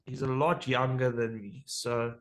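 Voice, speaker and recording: Casual interview host, South African man, relaxed and jokey, swears freely. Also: mid-range voice, unhurried. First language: Afrikaans.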